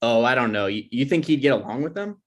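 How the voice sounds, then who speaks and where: A man's voice: medium pitch, lively intonation, quick pace, close to an everyday voice. A man playing an insecure, defensive boyfriend in his twenties in a comedy script table read. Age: young adult.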